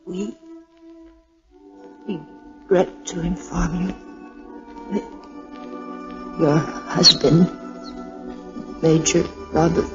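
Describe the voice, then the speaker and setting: A woman's voice: on the low side, fairly even intonation, very slow, pauses often. An actress playing a brave, restrained young woman grieving her husband in a 1940s radio drama. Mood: grieving, numb. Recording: muffled 1940s radio recording with music.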